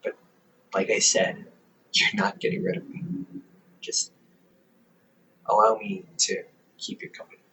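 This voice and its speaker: Caring, stubborn big brother, played by a young man, audio roleplay: unhurried delivery, animated melody, softly teasing and hesitant. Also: fairly low pitch, slight background noise, heavily acted, rough recording. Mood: gentle, stubborn. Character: caring, teasing older brother, around twenty, gently stubborn. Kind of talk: intimate audio roleplay, one-sided conversation.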